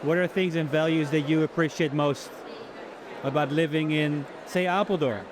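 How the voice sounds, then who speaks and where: A man's voice: a little high, fairly even intonation, moderate pace, background noise. A man interviewing people at a busy fair, asking short friendly questions with some ums. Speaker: street interviewer. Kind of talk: casual street interview.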